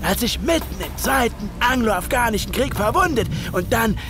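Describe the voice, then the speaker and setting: An actor playing a cold ex-soldier killer, narrating in a measured, dramatic way for an audio drama. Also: male voice, very high voice, expressive. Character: cold, deliberate male ex-soldier killer recounting murder. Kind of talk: scripted first-person audio drama narration. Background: music.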